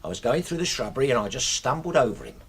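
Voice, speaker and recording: A man's voice: medium pitch, big pitch swings, fast talker, breathy edge. A man with a British accent, playing a deferential, grumbling ex-soldier servant in a radio drama. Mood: pained, defensive. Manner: deferential, plain-spoken. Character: deferential, grumbling old soldier servant, long-suffering cook-batman.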